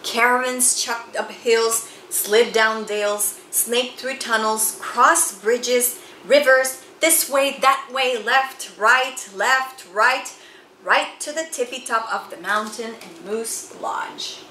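A middle-aged woman reads a picture book aloud to children, with theatrical, deliberate, emphatic phrasing. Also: fairly high pitch, pushed and bright. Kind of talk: expressive children's read-aloud storytelling. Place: small indoor room with bookshelves and chalkboard. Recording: roomy sound with faint background noise.

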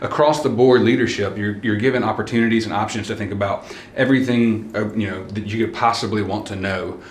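A man's voice: fairly low pitch, fairly even intonation, fairly quick, roomy sound. A pastor from Alabama reflecting on his studies in a casual, searching way, standing in a church atrium. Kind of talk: casual group testimonial.

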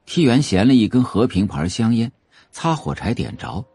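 A man's voice: medium pitch, big pitch swings, moderate pace, mellow, slightly rough, no echo. A man reading scripted narration for an audio drama, telling the story as a novel's narrator. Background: faint music.

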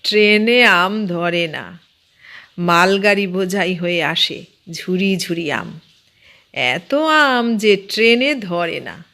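A woman voicing an excitable, boastful, easily flustered uncle who works on the railway, in a storybook reading. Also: high voice, slow, gravelly, heavily acted. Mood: boastful, relishing.

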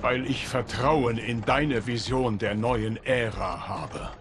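An actor playing a solemn, loyal guardian of time in video game dialogue, formal, deliberate and grave. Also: male voice, medium pitch, background noise, natural voice. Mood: solemn, measured. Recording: music behind the voice.

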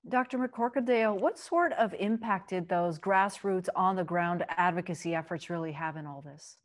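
Low-voiced woman moderator asking questions. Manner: brisk, asks questions. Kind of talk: moderating a panel.

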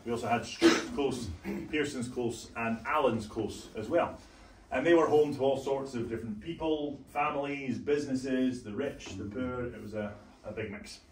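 A man's voice: mid-range voice, slight background noise. Man giving a tour, heard from far off in a room. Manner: explanatory, measured. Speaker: tour guide. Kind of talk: guided tour talk. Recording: roomy, picked up from a distance.

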